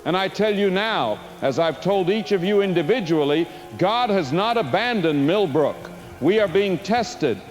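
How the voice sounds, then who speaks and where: A man's voice: high-pitched, darkish tone. A man playing a solemn small-town pastor preaching earnestly and rhetorically to a frightened flock in an audio drama. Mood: solemn, reassuring. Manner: earnest, rhetorical, measured oratory.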